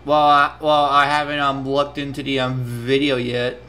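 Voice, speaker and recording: A man chatting casually in a halting, repetitive way while recording a group video call. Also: mid-range voice, monotone, bright, forceful tone, smooth. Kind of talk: casual chat. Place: indoors at a computer on a video call. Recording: noisy video call with clicks.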